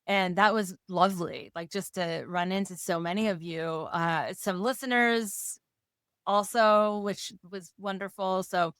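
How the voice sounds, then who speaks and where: A woman co-hosting a podcast in a casual, playful way, slightly hoarse and given to jokes and lists. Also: mid-range voice, steady tone, measured pace, fairly bright tone, no echo, clean studio sound. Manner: playful, jokey, talks in lists. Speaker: podcast co-host. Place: Rochester area.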